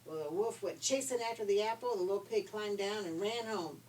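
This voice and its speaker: A woman's voice: mid-range voice, fairly quick, darkish tone. An older woman telling stories to children in an informal, folksy, conversational way. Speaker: storyteller for children. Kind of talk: informal storytelling. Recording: distant microphone, faint background noise.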